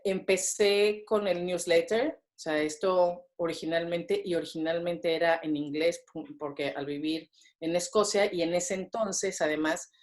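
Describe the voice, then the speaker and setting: A woman's voice: lowish voice, steady tone, unhurried, darkish tone. Chatty Mexican woman guest. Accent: Mexican Spanish. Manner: chatty, full of fillers and tag questions. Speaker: podcast guest. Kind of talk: casual interview conversation.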